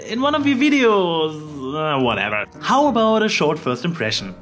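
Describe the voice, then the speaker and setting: A man's voice: a little high, sing-song, moderate pace, fairly bright tone, soft background music. A man hosting a review, narrating from a script. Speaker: host, fiction reviewer.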